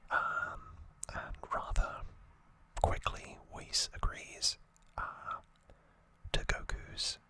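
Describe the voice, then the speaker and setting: An ASMR host whispering an unscripted story recap in a hesitant, slightly wry way. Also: extremely slow.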